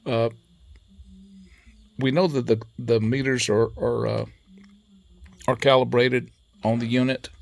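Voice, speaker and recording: A man giving a casual hands-on technical tutorial in a methodical, matter-of-fact way, pausing as he goes. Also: mid-range voice, vocal fry. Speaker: presenter demonstrating equipment calibration.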